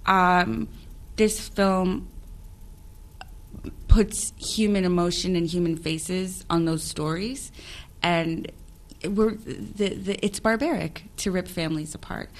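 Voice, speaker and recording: An actress chats through an interview in a low, even voice. She thinks aloud, circles back to restart her sentences and laughs easily. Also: slow. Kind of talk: casual interview chat.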